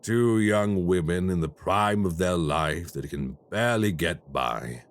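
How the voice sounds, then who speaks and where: An actor playing a calm, formal male crime boss who speaks in a polite, measured, businesslike way in an audio roleplay. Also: low voice. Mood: courteous.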